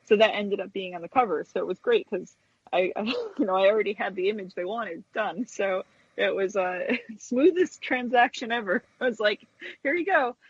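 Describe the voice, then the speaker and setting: A woman's voice: mid-range voice, moderate pace, slightly rough, dry recording. A chatty woman guest, an animator explaining her craft casually in an interview over dull web audio. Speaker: guest stop-motion animator and puppet maker.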